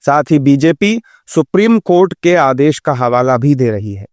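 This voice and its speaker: A man's voice: medium pitch, sing-song, moderate pace, darkish tone, dry recording, studio quality. A man reading a scripted news report as narrator.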